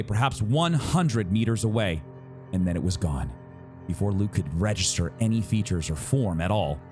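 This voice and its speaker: A man reading a story aloud as a dramatic narrator, with suspenseful, deliberate phrasing and pauses. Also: on the low side, a little mellow. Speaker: narrator reading a novel excerpt. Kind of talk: dramatic audiobook-style narration.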